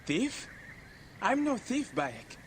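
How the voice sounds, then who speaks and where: An actor playing a boastful, cheeky thief in a video game, telling tall tales in a theatrical, self-dramatizing way. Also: male voice, extremely high pitch, wildly swooping pitch, slow, a little hiss or hum, heavily acted. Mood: indignant, wheedling. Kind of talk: video game cutscene acting. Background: music.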